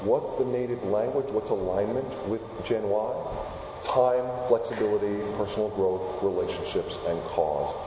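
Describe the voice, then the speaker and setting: A man's voice: lowish voice, moderate pace, background noise. Man in an echoey hall. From Argentina. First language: Spanish. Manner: animated, asks the audience questions. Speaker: keynote speaker. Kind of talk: lively presentation to a live audience. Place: large hall. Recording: echoey hall, dull sound.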